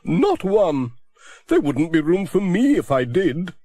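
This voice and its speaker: Brief: man playing a brisk, book-mad village lady, high chirpy lively voice, dry audiobook sound. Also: no room sound, heavily acted. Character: brisk bookish older village woman. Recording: dry close audiobook recording.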